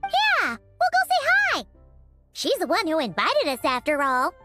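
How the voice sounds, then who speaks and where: A woman voicing a tiny, excitable, cheeky fairy girl in an anime game, with a squeaky childlike voice. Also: unhurried, soft background music, heavily acted. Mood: cheerful. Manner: childlike, third-person, exclamatory.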